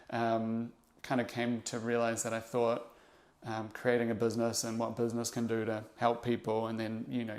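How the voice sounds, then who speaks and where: A young man with a New Zealand accent talks through his past in a casual podcast chat. He pauses often and restarts his sentences, reflective and unhurried in his thinking. His pitch sits in an ordinary middle range for a man. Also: darkish tone. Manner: reflective, halting, many fillers and restarts. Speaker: interview guest, investor.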